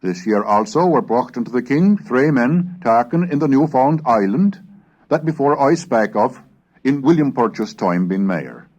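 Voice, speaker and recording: A man reads archaic prose as a formal narrator, with pitch that rises and falls widely across each phrase. His voice sits in a middle register, and the delivery is measured and declamatory, like a scripted recitation of old chronicles. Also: expressive, a little mellow, highs cut off. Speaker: reader of historical texts. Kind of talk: scripted narration, dramatic reading of archaic English texts.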